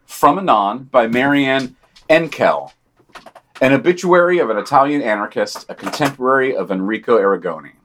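A man with a mid-pitched voice co-hosts a podcast digest. He reads scripted items and quotations in a dry manner, adding short asides. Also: lively intonation, moderate pace, fairly bright tone. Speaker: podcast co-host.

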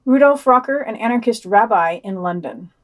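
A woman's voice: on the high side, animated, moderate pace. A woman hosting a podcast, reading news summaries with dry, sardonic asides. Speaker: podcast host.

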